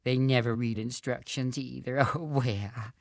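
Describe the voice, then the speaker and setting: A man voicing a chatty middle-aged mother at a party in a read-aloud storybook, unhurried and lightly amused. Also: medium pitch, steady tone, creaky, dry recording, studio quality, pitched above the performer's own voice.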